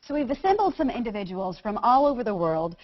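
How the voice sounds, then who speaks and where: A woman hosting a video call, briskly introducing guests and asking questions. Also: a little high, sing-song, a little hiss or hum. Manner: brisk, inviting, calls on guests by name. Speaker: host. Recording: dull lo-fi video call audio.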